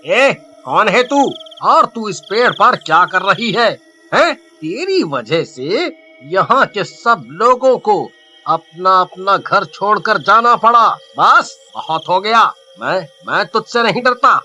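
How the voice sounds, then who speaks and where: A man voicing a bold, dismissive village husband in an animated horror story, scoffing and exasperated. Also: high voice, slow, soft background music, heavily acted. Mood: angry, bold.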